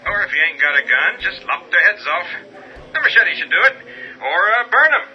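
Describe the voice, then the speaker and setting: Blunt rural sheriff, folksy drawl, high lively voice, muffled radio-play audio. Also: male voice, brisk. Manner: folksy, drawling, matter-of-fact. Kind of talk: radio drama acting. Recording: dull broadcast-style audio with music.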